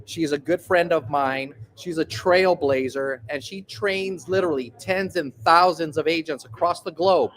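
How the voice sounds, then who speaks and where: A man hosts a live online masterclass with an upbeat, announcer-like delivery. His voice sits a little high for a man. It sounds like a computer mic on a video call. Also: unhurried, hardly any pauses, fairly bright tone. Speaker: host. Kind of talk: live webinar introduction.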